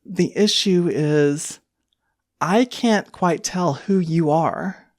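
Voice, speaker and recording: A woman voicing an older lady computer program in a tabletop game, polite and apologetic, with gentle endearments. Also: fairly low pitch, drawn-out words, no room sound, studio quality, voice pushed up. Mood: gently concerned. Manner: courteous, hesitant, endearments like sweetie and dear.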